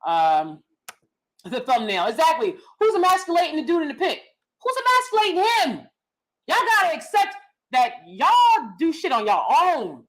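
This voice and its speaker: A young adult woman talks straight to camera on her own channel. She is loud, blunt and freely profane. Her voice is on the high side and swings widely in pitch. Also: moderate pace, poor audio. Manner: loud, animated, blunt with cursing. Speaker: vlog host. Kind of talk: casual commentary vlog.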